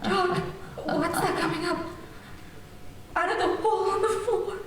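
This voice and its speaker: Woman as very high-pitched frightened sidekick, echoey. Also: measured pace. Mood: horrified. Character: frightened, pleading sidekick called Sam, simple and slangy. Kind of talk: old-time radio horror play acting. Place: echoey room, live streamed performance. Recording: echoey, distant, noisy streamed stage audio.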